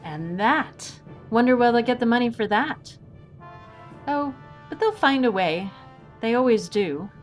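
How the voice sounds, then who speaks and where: A woman's voice: on the high side, lively intonation, measured pace, long pauses, soft background music. Woman playing a composed, witty swashbuckling heroine. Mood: wryly musing. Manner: polite, unruffled, wryly philosophical. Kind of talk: audio drama acting.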